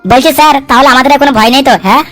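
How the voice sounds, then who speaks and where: Woman as squeaky-high, cheeky cartoon sidekick. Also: fairly bright tone, soft background music. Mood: scheming. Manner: chattering, argumentative, coarse, quick with excuses. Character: wily, cheeky male sidekick and schemer, foul-mouthed. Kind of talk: comic cartoon dub dialogue.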